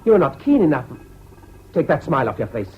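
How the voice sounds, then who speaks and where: Bullying posh english school prefect, barky and scornful, lively highish voice, bit of old radio hiss. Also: male voice, fairly quick, dull audio. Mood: scornful, commanding. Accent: English public-school. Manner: barking, hectoring, contemptuous. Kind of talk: 1970s BBC radio drama acting.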